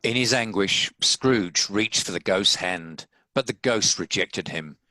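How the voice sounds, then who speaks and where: A man's voice: lowish voice, measured pace, bright, forceful tone, dry recording, rough recording. A man reading scripted story narration as the narrator. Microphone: home video-call recording.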